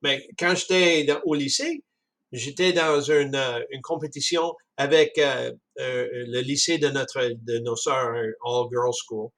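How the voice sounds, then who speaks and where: A man with a Canadian French accent talking casually about a book, halting often with restarts and chuckles. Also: mid-range voice, fairly even intonation, fairly bright tone. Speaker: speaker discussing a book. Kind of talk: casual spoken commentary.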